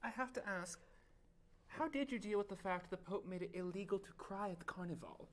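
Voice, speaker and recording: A woman's voice: medium pitch, a little fast, noisy background, close to an everyday voice. A woman playing a prim, inquisitive tavern guest.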